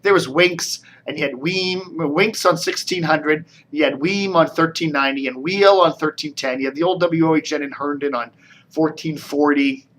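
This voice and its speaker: American male vlogger, slightly high voice, loose off-the-cuff media commentary, wry edge. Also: moderate pace, fairly bright tone. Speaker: video blogger and media commentator. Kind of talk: casual rambling commentary.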